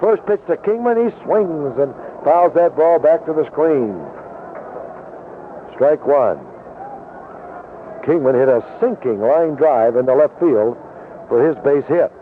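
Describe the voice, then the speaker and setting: A man's voice: fairly high pitch, slow, long pauses. An American man calling baseball play-by-play in the style of 1970s radio commentary. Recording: tinny noisy vintage AM radio broadcast.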